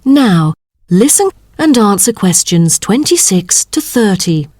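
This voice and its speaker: A woman announces a listening test with formal, deliberate delivery. She speaks rather slowly, with very varied intonation and a medium pitch. The recording is dry, with no room echo. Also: sing-song, a little mellow. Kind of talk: scripted exam instructions.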